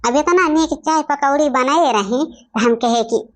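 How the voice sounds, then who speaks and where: A woman's voice: high voice, unhurried. A woman with an Awadhi accent voicing a plain-spoken old village mother-in-law in a cartoon family comedy, explaining herself in homely speech. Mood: placating.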